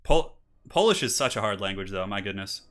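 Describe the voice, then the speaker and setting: A bright, chatty man in his thirties hosts a live game stream. He reads out donations and chats with viewers, then casts a strategy game match. His match intros rise to hyped announcing. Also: lowish voice, lively intonation, brisk. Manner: chatty, reads donations aloud, laughs often. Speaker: streamer and tournament caster. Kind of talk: casual live-stream chat and game commentary.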